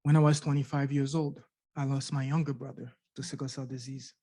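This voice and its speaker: A man's voice: medium pitch, level tone, fairly quick, mellow. A middle-aged man from Canada giving an earnest personal testimony at a conference, repeating words and asking rhetorical questions. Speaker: conference speaker sharing his patient story. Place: university conference room.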